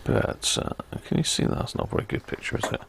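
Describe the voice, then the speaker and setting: A man's voice: quick pace, slight background noise. A British man hosting a casual hobby livestream, rambling and thinking aloud with chummy asides to viewers. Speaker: livestream host, CB radio hobbyist. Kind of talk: casual live chat to viewers. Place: indoor radio room.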